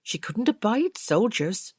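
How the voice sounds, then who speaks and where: A woman's voice: mid-range voice, sing-song, slow, breathy edge, no echo, clean studio sound, voice pushed up, full character voice. A woman voicing a gossipy, opinionated servant woman with colloquial speech in an audiobook.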